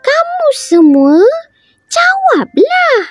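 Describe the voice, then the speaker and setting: A woman voicing a clever, scheming male cat servant in a bedtime storybook, in a high, squeaky, pleading voice. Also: wildly swooping pitch, extremely slow, over music, exaggerated voice. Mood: urgent. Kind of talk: storybook character voice.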